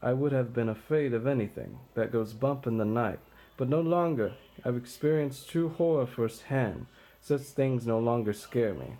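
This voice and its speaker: A man's voice: medium pitch, normal speed, dark tone, voice pushed down, lightly acted, rough recording. A man playing a bitter, defiant tyrant king facing his doom, declaiming in a brooding, combative way. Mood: bleak, weary.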